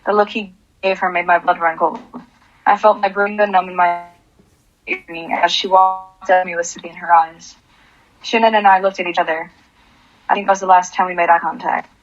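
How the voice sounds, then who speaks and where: A woman's voice: on the low side, level tone, fairly quick, muffled recording, natural voice. A woman playing a bitter, desperate grieving mother in an anguished, confessional radio drama performance. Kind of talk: radio drama acting with first-person monologue.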